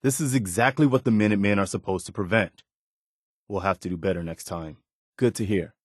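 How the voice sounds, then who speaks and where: Man playing an earnest, low-voiced militia soldier. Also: a little fast, no echo, studio quality, lightly acted. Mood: determined. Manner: sincere, plain-spoken. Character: earnest, principled male militia soldier, loyal and idealistic. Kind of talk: video game companion voice lines.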